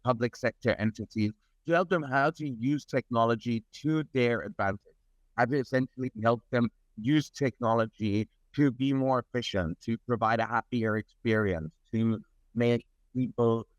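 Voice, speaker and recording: A man's voice: medium pitch, dry recording. A middle-aged man from Germany, speaking as an interview guest in an earnest, expansive way, often repeating words as he builds his sentences. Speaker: interview guest, technology advisor. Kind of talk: conversational interview. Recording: dull lo-fi recording.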